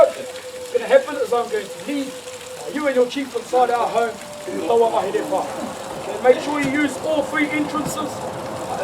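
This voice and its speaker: A New man declaims a formal welcome to visitors at a cultural village. His voice sits very high, and it reaches the mic from afar. Steady noise runs underneath. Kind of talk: ceremonial welcome address to tourists. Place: live cultural show venue. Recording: distant voice with loud background noise.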